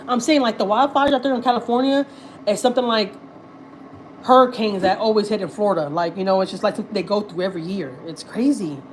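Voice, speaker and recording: A woman's voice: mid-range voice, fast, a little hiss or hum, poor audio. A woman, hosting a casual livestream and rambling through frank slang and fillers. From Houston, Texas. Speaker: livestream host. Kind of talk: casual livestream chat, reading viewer comments. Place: at home.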